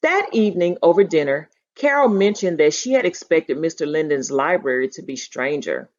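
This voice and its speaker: Expressive woman reading aloud, dull lo-fi sound. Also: low voice, normal speed. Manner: animated storytelling, putting feeling into dialogue. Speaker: teacher reading aloud to students. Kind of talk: expressive read-aloud of a children's novel.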